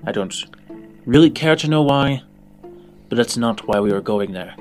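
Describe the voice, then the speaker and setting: A man's voice: medium pitch, lively intonation, normal speed, a little mellow, faint music behind, natural voice. A man playing a fussy, exacting male hacker in a tabletop game, with a theatrical, condescending manner. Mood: stern, probing. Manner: theatrical, condescending, addresses listener as dear.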